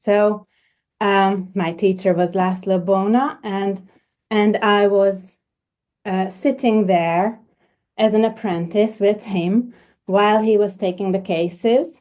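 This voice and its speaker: Slow, soft-spoken woman from Hungary. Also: medium pitch, fairly even intonation. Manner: hesitant, many repeated words and fillers. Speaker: homeopath guest. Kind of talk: conversational interview.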